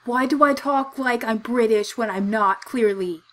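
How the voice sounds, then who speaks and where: A woman's voice: a little high, normal speed, a bit bright. A young woman playing a game, giggly and bemused, pressing questions into a desk microphone. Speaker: gamer. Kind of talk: let's play commentary.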